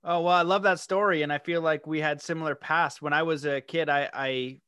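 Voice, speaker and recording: Friendly, higher-voiced male podcast guest. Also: fairly even intonation, fairly quick, bright, forceful tone, clear, resonant tone, dry recording, studio quality. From Canada. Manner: friendly, storytelling, frequent "you know". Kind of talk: interview conversation.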